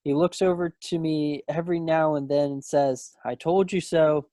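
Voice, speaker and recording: Man acting breezy young soldier, higher voice. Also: steady tone, normal speed, mellow, no room sound, studio quality, close to an everyday voice. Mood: wry, rueful. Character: breezy young WWII serviceman, rueful and boastful. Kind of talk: dramatic table read of a stage play. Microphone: computer mic on a video call.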